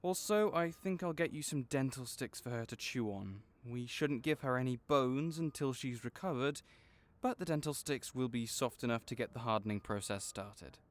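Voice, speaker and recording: A man playing a kind, patient veterinarian for magical creatures in a comforting audio roleplay, explaining things gently and encouragingly. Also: medium pitch, moderate pace, few pauses, lightly acted. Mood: practical, helpful. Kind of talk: comforting audio roleplay, one-sided conversation.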